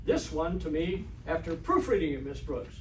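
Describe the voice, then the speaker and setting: An actor playing a pompous, vain, bossy middle-aged principal in old-time radio comedy, formal and blustery. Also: male voice, fairly high pitch, sing-song, moderate pace, recorded from a distance. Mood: imperious. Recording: roomy stage recording from afar, hiss.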